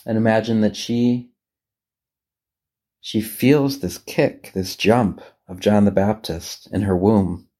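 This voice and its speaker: Low, mellow man guiding a meditation. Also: steady tone. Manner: gentle, unhurried, with long pauses. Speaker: priest leading a guided meditation. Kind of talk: guided meditation, reflective scripture reading.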